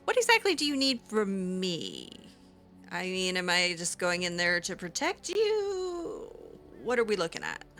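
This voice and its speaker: A woman's voice: on the low side, wildly swooping pitch, measured pace, pushed and bright. A woman improvising in-character roleplay, hesitant and full of ums. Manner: hesitant, with frequent ums and restarts. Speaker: roleplaying game player. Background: faint game music.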